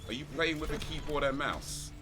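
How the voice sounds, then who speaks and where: A man's voice: a little high, brisk. A man hosting a casual livestream, chatting with viewers and a fellow player. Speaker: game streamer and host. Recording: loud music behind. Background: music.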